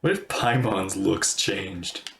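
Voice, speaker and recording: Female reaction streamer: extremely low pitch, lively intonation, informal off-the-cuff musing. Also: slow pace, gravelly, slight background noise. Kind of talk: casual livestream commentary.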